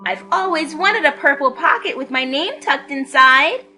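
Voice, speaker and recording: A woman's voice: sits high, expressive, normal speed, pushed and bright, faint music behind, pitched above the performer's own voice, heavily acted. A woman voicing a small, innocent male toy bear in a storybook, wide-eyed and delighted. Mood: innocent wonder.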